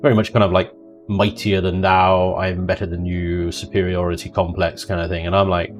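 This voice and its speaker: Chatty man, low voice. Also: flat delivery, normal speed, faint music behind. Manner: chatty, jokey, drifts into mock voices and laughter. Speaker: livestream host. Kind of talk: casual chat while gaming.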